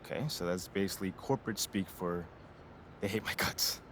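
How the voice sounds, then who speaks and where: A man's voice: deep voice, fairly even intonation, fairly quick, a bit bright, slight background noise. An actor voicing a laid-back young male rookie basketball player in a video game cutscene, talking casually with slang and jokes. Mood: relaxed. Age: young adult.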